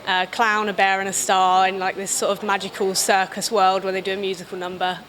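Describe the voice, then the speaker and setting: A woman's voice: medium pitch, flat delivery, brisk. A British woman giving casual interview answers as a film director, in a hesitant, rambling way. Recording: clear background noise.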